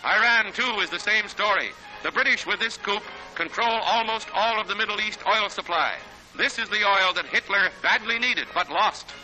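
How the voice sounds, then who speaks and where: A man's voice: high-pitched, normal speed, rough recording. An American man narrating a 1940s newsreel in a dramatic, declamatory manner. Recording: 1940s newsreel soundtrack with loud music.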